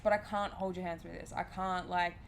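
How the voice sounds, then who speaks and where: A woman's voice: fairly low pitch, level tone, a little fast, creaky. Frank young woman hosting a podcast. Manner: frank, swears casually, trails off and restarts. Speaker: podcast host. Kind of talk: casual podcast conversation. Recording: faint background noise.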